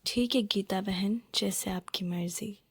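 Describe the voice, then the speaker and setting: A humble maid from a children's cartoon, played by a woman, meek and apologetic. She speaks softly and slowly in a dry, close recording, always deferring. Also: mid-range voice, breathy edge, no echo. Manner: deferential, apologetic, soft-spoken. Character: humble, meek poor maid and sweet-maker, a mother. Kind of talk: Hindi children's cartoon story acting.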